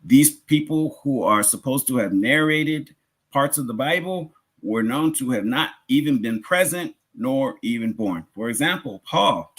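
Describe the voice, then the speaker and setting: Male preacher, Islamic lecture, husky voice, lively swooping intonation, explanatory, emphatic repetition, rhetorical asides. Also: mid-range voice. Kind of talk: religious lecture, teaching.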